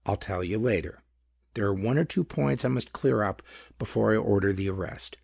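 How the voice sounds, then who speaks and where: A man's voice: fairly low pitch, moderate pace, creaky, a little mellow, slightly rough, no echo, clean studio sound. A man voicing a chubby, superior male detective in a pulp audiobook, with formal, pedantic, emphatic phrasing. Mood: confident.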